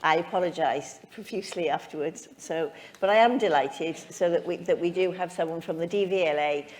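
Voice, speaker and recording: Lower-voiced woman chairing a conference. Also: brisk, darkish tone. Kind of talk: introducing a speaker. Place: conference hall.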